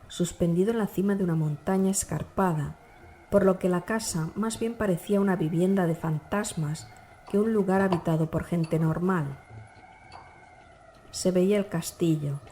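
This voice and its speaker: A woman narrating a dark classic novel as an audiobook, in a measured, formal storytelling manner. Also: lowish voice, slight background noise.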